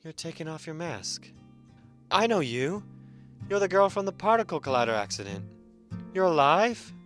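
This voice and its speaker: A man's voice: on the high side, very animated, moderate pace, a bit bright, soft background music. A man playing a wounded, wary and earnest masked superhero in a radio drama. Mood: wary, surprised.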